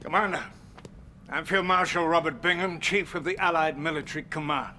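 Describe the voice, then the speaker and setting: An actor playing a stiff, authoritative British field marshal in a video game, with a British accent. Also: male voice, fairly high pitch, fairly even intonation, brisk, sharp tone, a little hiss or hum. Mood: formal, briefing. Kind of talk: formal video game cutscene acting.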